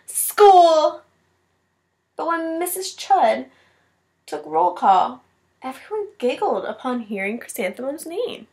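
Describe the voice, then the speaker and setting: A woman's voice: high voice, very animated, unhurried, darkish tone. A woman teacher reading a picture book aloud expressively, with casual asides to her class.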